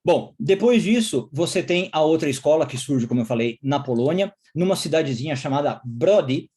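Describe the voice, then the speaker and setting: Lecturer, male, from israel, fairly high voice, patient explainer. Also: expressive, normal speed, darkish tone. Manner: explanatory, frequent checking tag questions. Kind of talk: recorded course lecture.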